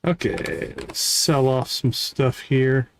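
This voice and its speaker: A man's voice: medium pitch, animated, slow, gravelly, a little hiss or hum. A man giving casual live gameplay commentary as a streamer, thinking aloud to himself in short fragments. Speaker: game streamer.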